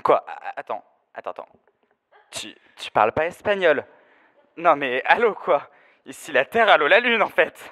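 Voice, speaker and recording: A man's voice: fairly high pitch, very animated, normal speed, long pauses, pushed and bright, long reverb tail. A young man playing a flamboyant, gossipy best friend in a comic audio drama, with theatrical, catty, slangy delivery. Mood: excited, teasing. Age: teenager.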